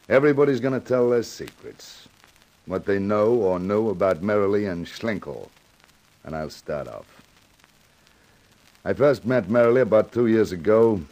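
A man plays a tough American gangster boss in an old radio thriller. His voice sits low, and he speaks in curt slang with impatient menace. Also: darkish tone, lightly acted, rough recording. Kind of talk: 1950s radio crime drama acting.

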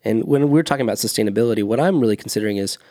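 A man in his thirties with a lowish, gravelly voice, talking through ideas in a casual podcast chat. He explains earnestly and often restarts his sentences as he thinks aloud. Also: racing, warm tone. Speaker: podcast co-host, fitness coach.

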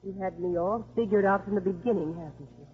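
An actress playing a guarded, wounded, defiant career woman with a husky voice in a 1950s radio drama. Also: medium pitch, fast talker. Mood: indignant. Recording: thin, phone-like old radio recording.

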